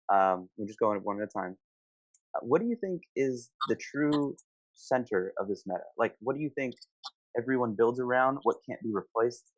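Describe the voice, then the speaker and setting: A man casually hosting an online gaming panel, asking informal questions and affirming his guests' answers, on a dull voice-call recording. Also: fairly low pitch. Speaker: host of a gaming discussion panel. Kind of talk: casual moderated online discussion.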